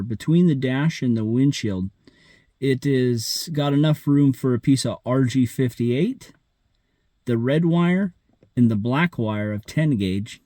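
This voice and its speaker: A man's voice: medium pitch, a little mellow, no room sound. Casual American man showing his handiwork. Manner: plainspoken, informal. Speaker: vlogger showing his vehicle radio install. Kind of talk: casual hands-on walkthrough.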